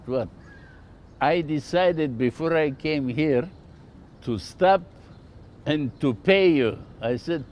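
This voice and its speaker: A man's voice: on the high side, darkish tone, slight background noise. An older man from Bulgaria with a Bulgarian accent, telling anecdotes casually and plainly as a shopkeeper being interviewed. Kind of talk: casual oral storytelling.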